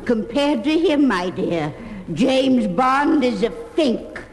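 A slow, halting voice in a reverberant hall with faint hiss. a sweetly earnest, forgetful old lady in a radio comedy, rambling through her memories. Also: high voice, animated, exaggerated voice. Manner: halting, rambling, pausing to remember. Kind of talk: scripted radio comedy sketch.

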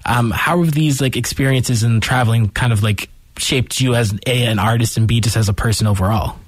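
A young male host with an easygoing, casual manner who keeps the talk moving with short affirmations. His voice sits in a middle pitch. The sound is dry and close. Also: no echo. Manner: easygoing, quick to affirm with "dope" and "mm-hmm". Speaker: interview host. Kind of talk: casual interview questions.

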